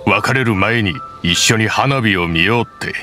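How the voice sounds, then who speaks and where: A man's voice: lowish voice, moderate pace, close to an everyday voice. A man voicing a friendly young boatbuilder in a game, modest and reassuring in manner. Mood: easygoing. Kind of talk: video game voice acting. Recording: game audio with music.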